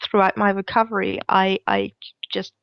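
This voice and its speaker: A woman's voice: mid-range voice, very animated, moderate pace, clean tone, dry recording, muffled recording. A woman from Denmark with a Danish accent and a cold, chatting as an interview guest. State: has a cold. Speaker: guest, knitwear designer. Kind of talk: interview answers.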